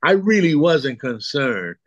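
A man's voice: on the high side, big pitch swings, a little slow, no echo, lo-fi sound. A man in his sixties from Puerto Rico, chatting as an interview guest, rambling and repeating words as he thinks. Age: 60s. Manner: rambling, repeats words, chuckles between thoughts. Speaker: guest artist. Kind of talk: casual interview chat.

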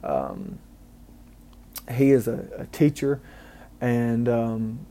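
A man's voice: medium pitch, sing-song, drawn-out words, mellow. A man from Mississippi with a Southern accent, rambling through a casual solo podcast with many ums and restarts. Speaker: podcast host. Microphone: home microphone, amateur setup.

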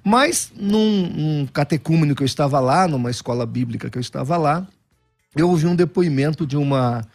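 A middle-aged man speaks as a guest pastor. His voice sits somewhat high and he tells his anecdotes with energy, often restarting his sentences. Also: vocal fry. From Brazil. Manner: animated, self-deprecating anecdotes with frequent restarts. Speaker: Baptist pastor. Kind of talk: storytelling interview answers.

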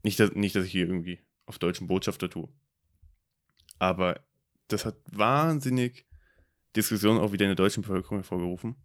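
A man's voice: low voice, a little fast, long pauses. A young man from Germany in his early twenties, co-hosting a podcast and talking things through in a reflective, hesitant way with many fillers. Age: about 20. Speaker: podcast co-host. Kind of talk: casual discussion.

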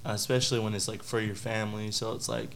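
Laid-back young man rambling, low voice. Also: little pitch movement, fast, slight background noise. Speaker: podcast guest. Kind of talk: casual chat.

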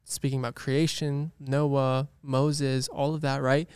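A man's voice: medium pitch, flat delivery, takes it slowly, darkish tone, clean tone, dry recording. A man in his early twenties co-hosting a podcast, upbeat and playful, sometimes reading from notes. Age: 20s. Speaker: podcast co-host. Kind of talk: casual chat.

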